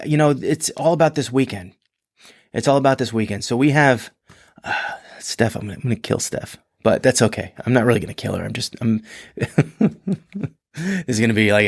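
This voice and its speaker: A man hosting a podcast in a rambling, chatty solo talk, his voice a little hoarse and congested. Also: mid-range voice, no echo, clean studio sound. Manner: rambling, chatty, many restarts and fillers. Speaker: podcast host. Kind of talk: casual solo podcast monologue.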